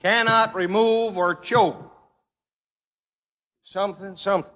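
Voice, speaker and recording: An actor playing a jealous Texas cowhand bungling Othello, reciting haltingly in a folksy drawl in a comic radio play. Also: male voice, high-pitched, steady tone, fairly bright tone, big-room sound, lo-fi sound. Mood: stern, halting. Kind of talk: comic old-time radio acting.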